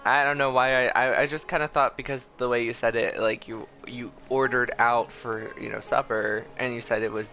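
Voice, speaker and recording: A man's voice: mid-range voice, steady tone, moderate pace, hardly any pauses, pushed and bright, soft background music. A man live-streaming a game, chatting casually in a rambling, bemused way. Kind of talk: casual live-stream chat over gameplay.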